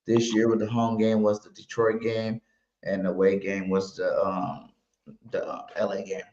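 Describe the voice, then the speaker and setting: A man from Los Angeles co-hosting a sports podcast, chatting casually in a slangy way with loud, hyped bursts. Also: on the low side, monotone, moderate pace, slight background noise. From Los Angeles, California. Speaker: podcast co-host. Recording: roomy, dull sound.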